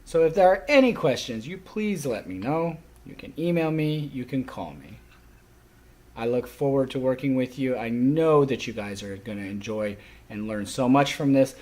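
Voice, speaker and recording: A man giving a spoken course orientation for a class, in halting stop-and-start phrases with stressed openings. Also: mid-range voice, animated, a little mellow.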